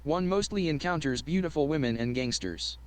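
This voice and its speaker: A terse male suspect from an old mystery game, voiced by a man, speaking in short, flat lines through a synthetic voice. His tone sits slightly high for a man. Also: a little fast, close to an everyday voice. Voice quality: synthetic speech. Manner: flat, clipped one-line answers.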